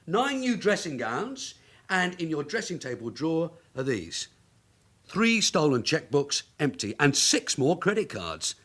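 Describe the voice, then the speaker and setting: Sardonic, husky veteran detective. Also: male voice, sits high, fairly bright tone, exaggerated voice. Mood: astonished. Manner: dry, sarcastic, bossy. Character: shrewd, sardonic veteran London detective sergeant. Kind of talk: naturalistic radio drama acting.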